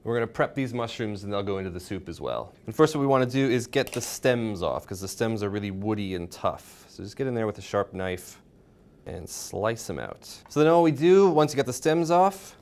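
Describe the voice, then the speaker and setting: A man's voice: fairly low pitch, expressive, a little fast, a little mellow. A young man presenting a cooking show, explaining each step in an informal, instructional way. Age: young adult. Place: indoor home-style kitchen.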